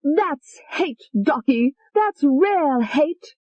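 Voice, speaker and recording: A woman's voice: high-pitched, expressive, drawn-out words, no room sound, muffled recording, voice pushed up, exaggerated voice. A woman voicing a furious, vicious young woman alter who screams and taunts. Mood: taunting.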